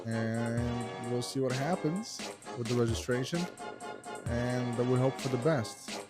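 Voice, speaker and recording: A man from Morocco hosting a casual sports podcast, talking loudly and swearing, with frequent laughs. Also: mid-range voice, a little slow, over loud music. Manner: loud, profane, laughs often. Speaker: podcast host.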